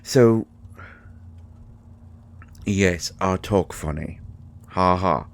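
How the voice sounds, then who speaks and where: A man's voice: low voice, very slow, heavily acted. A man playing a grumpy, secretive fairy healer in an intimate roleplay audio, hesitant and exasperated with wry sarcasm. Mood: dryly scolding.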